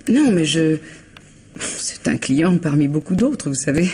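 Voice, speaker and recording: An actress playing a tartly defiant widowed bar owner in a French radio mystery, measured and evasive. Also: female voice, low-pitched, animated, a little mellow, a little hiss or hum. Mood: guarded, irritated. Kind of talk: 1950s-style French radio mystery acting.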